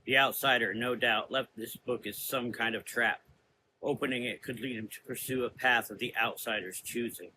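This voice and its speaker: Grave, cryptic male adventurer, narrator-performed, gothic horror drama, brooding and formal, bright timbre. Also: mid-range voice, steady tone, moderate pace, slight background noise, rough recording. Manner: solemn, cryptic.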